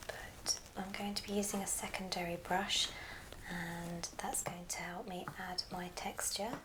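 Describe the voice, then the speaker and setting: A woman whispers a craft tutorial in ASMR style. Her voice is soft, breathy and on the low side, moving slowly and gently from step to step. Clear background noise sits under the whisper. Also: level tone. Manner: unhurried, gentle step-by-step instruction. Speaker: ASMR host demonstrating a craft. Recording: clear background hiss and clicks.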